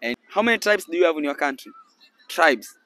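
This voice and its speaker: A young Tanzanian man with a slightly raised pitch, holding a handheld mic out on a sunny boardwalk. He talks to passers-by slowly and patiently, in broken English, pausing as he explains. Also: big pitch swings, sharp tone, a little hiss or hum, low-quality recording. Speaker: street interviewer. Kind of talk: casual street interview. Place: sunny beach boardwalk outdoors.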